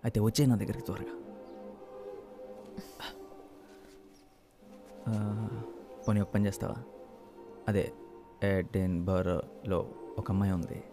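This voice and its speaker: An actor playing a lovesick young engineering student, candid and teasing, in naturalistic film dialogue with some English mixed in. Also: male voice, fairly low pitch, close to an everyday voice. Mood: tender, pleading. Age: young adult. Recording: film soundtrack with music underneath.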